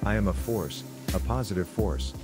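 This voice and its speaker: Deep man reciting affirmations over music. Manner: steady, measured.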